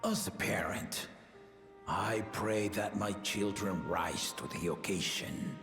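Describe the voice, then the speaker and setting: An actor playing an aging, battle-loving king and father in a video game, grave and shrewd, with a measured, regal delivery. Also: fairly low pitch, reverberant, big-room sound, over music. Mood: grave, commanding. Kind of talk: scripted video game voice acting.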